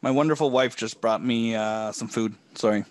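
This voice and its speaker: Guy teaching trading live, kinda hoarse, keeps clearing his throat, dry close sound. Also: medium pitch, moderate pace, no room sound. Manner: relaxed, filler-heavy, frequent throat clearing. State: losing his voice. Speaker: trading coach.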